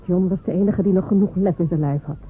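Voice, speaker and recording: A woman's voice: on the low side, fast, natural voice. A woman playing proud, waiting wife Jemima. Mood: firm. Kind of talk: radio play acting. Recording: narrow phone-like radio recording with faint hiss.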